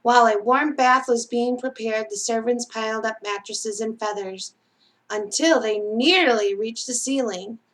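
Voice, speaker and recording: High-pitched woman reading a children's story. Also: unhurried, fairly bright tone, rough recording. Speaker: children's storytime reader at a library. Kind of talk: read-aloud storybook narration for children.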